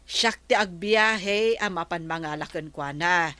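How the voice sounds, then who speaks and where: An actress playing a patient, polite young wife in a radio comedy drama, speaking softly and respectfully. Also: female voice, on the low side, slow, smooth, no echo.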